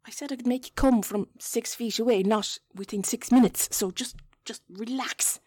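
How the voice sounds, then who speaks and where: Woman playing chatty, awkward Irish wife for intimate audio drama. Breathy, whispery, coaxing delivery, very dry recording. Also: a little high, a little mellow, dry recording. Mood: awkward, reassuring. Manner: halting, self-conscious, coaxing, drifting into breathy whispers. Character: flustered, chatty Irish wife talking intimately to her partner. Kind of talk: intimate erotic audio roleplay.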